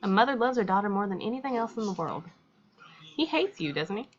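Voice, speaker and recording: A woman's voice: mid-range voice, lively intonation, fairly quick, lo-fi sound, close to an everyday voice. A woman voicing a possessive mother who swings between cruel taunts and cooing devotion. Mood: smothering, doting.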